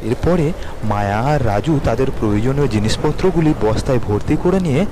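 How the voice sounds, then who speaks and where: A man narrating an animated moral story in a steady, measured storytelling manner. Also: medium pitch, background noise. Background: cartoon background music.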